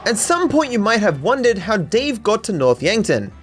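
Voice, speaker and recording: High-pitched, lively Australian man narrating. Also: normal speed, faint music behind. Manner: dry, mock-serious sarcasm. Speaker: video creator and narrator. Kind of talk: scripted comedic video essay narration.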